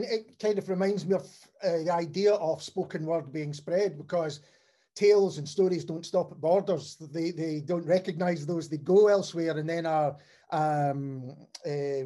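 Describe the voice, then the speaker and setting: A man's voice: a little high, moderate pace, dark tone. A man interviewing a poet, asking questions in a hesitant, searching way. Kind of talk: interview conversation.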